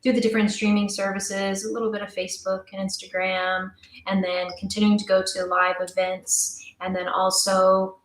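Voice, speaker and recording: A young woman speaks as a guest in a casual podcast interview. Her talk is chatty and emphatic, with a middle-range pitch. The recording has a noticeably roomy echo. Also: flat delivery, moderate pace. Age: young adult. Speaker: interview guest, audio drama creator. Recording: roomy, echoey voice.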